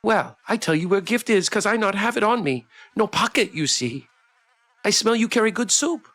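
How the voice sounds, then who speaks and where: A man's voice: high voice, animated, normal speed, fairly bright tone, voice pushed up. A man voicing a small, scrappy fairy who talks in clipped broken English, grateful but cocky. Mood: eager, chatty.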